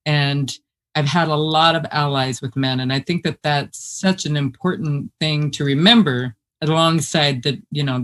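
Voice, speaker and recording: An American woman with a deep voice, a podcast guest chatting casually and telling stories with frequent laughter. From United States. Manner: animated storyteller who laughs often. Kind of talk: casual conversation.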